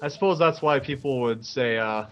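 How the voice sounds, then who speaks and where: Halting young man over faint music. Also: mid-range voice, highs cut off. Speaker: let's play co-host. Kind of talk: casual gaming commentary.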